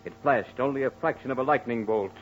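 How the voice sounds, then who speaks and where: A man's voice: mid-range voice, fast talker. A man narrating and announcing a 1940s radio horror drama in a formal, portentous, dramatic style. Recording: muffled old radio recording, faint music.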